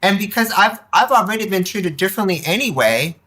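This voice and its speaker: A woman speaks candidly as an interview guest, in a very bright, forceful voice. She is animated and emphatic as she tells personal stories, slipping into quoted remarks. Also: mid-range voice, fairly quick. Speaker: podcast guest, nonprofit board member. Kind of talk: candid personal storytelling in conversation.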